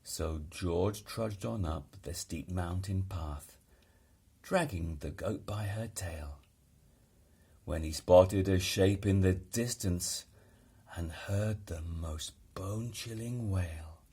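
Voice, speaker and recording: A man reading a children's story aloud in an expressive, dramatic and deliberate way. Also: low-pitched, slightly rough. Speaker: storybook reader.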